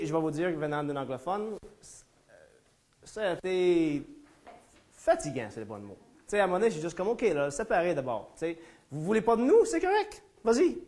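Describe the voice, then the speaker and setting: A man from Ontario with an English-speaking accent, giving a talk in French to a small room. His voice sits a little high for a man, and he hesitates often, restarting phrases and filling gaps as he works through his points. He mixes in dry jokes at his own expense. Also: a little mellow. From Ontario, Canada. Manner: hesitant, many fillers and restarts, self-deprecating humor. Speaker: lecturer. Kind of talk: live conference talk. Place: lecture room before a small audience.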